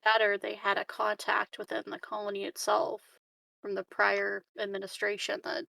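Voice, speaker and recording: Girl playing tabletop rpg, lots of vocal fry, casual, dry sound. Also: mid-range voice, monotone, moderate pace, bright, forceful tone, dry recording, poor audio. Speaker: tabletop role-play player. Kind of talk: casual in-game chat.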